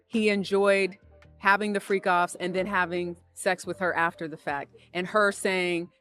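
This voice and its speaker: A woman's voice: on the low side, steady tone, a little slow, a bit bright, soft background music. A woman giving an explanatory spoken report from a trial as a news reporter.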